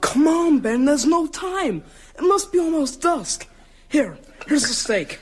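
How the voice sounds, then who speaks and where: A man's voice: very high-pitched, sing-song, slow, full character voice. A young male actor playing a frightened but brave boy vampire hunter in a radio drama. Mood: afraid, hushed.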